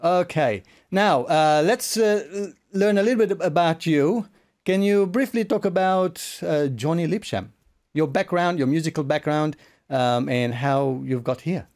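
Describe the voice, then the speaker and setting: A man's voice: on the high side, a little slow, a little mellow. A man hosting a casual online interview, speaking in a polite, somewhat hesitant way. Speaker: interviewer, host.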